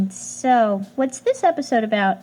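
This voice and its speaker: Eager, high-pitched young woman meddler. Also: animated, takes it slowly, mellow, low-quality recording. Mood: probing, teasing. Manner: chatty, emphatic, pushy. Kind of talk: audio drama acting.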